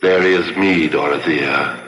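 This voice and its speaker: A man's voice: a bass voice, fairly even intonation, a bit bright, exaggerated voice. A man playing a lonely, imploring male ghost in a 1970s radio drama. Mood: pleading, yearning. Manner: slow, imploring, repetitive. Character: lonely, pleading male ghost of a dead husband. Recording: noisy old radio recording.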